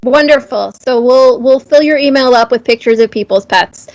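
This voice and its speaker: A woman's voice: high voice, normal speed, low-quality recording. A woman hosting a casual live interview, enthusiastic and appreciative as she puts questions to her guest. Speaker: interview host.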